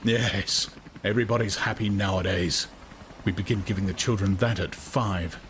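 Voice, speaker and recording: A man's voice: lowish voice, steady tone, moderate pace, fairly bright tone, a little hiss or hum, natural voice. A man voicing a brooding, discontented young man who speaks hesitantly and earnestly. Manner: hesitant, searching, derisive at times.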